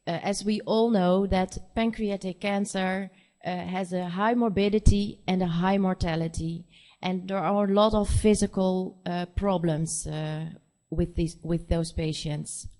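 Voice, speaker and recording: A woman with a Dutch accent, a nurse practitioner giving a conference presentation in English, her second language, speaking haltingly with many fillers. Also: fairly low pitch, steady tone, dark tone. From Netherlands. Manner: hesitant, halting speech with frequent fillers.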